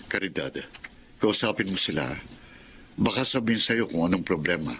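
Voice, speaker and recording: A man's voice: deep voice, lively intonation, normal speed, a bit bright, slight background noise. A man playing a stern, worried middle-aged father in a radio drama, earnest and questioning. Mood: concerned.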